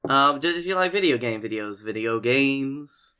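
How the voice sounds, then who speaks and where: A man's voice: mid-range voice, animated, normal speed, pushed and bright, smooth. A man talking casually and informally as the co-host of a comedy podcast.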